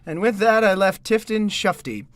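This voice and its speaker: A man playing an earnest gentleman hero in an audio drama, speaking in a dramatic, declamatory way. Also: high-pitched, lively intonation, normal speed, no echo. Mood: dramatic narration. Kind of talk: audio drama acting and narration.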